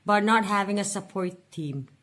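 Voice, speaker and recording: A woman's voice: mid-range voice, normal speed, fairly bright tone. A woman in her forties venting and rambling in a casual personal budget vlog, repeating words for emphasis. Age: 40s. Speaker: budget vlogger.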